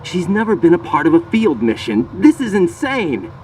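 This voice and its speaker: An actor playing a young rookie soldier in a video game, intense, earnest and sceptical, with a terse, questioning way of talking. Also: male voice, high-pitched, huge pitch swings, moderate pace, darkish tone, a little hiss or hum. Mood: indignant.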